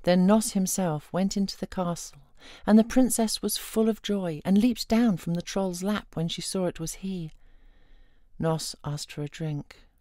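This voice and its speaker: A woman reading a fairy tale aloud as an expressive storyteller. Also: mid-range voice, a little slow, dry recording, clean studio sound.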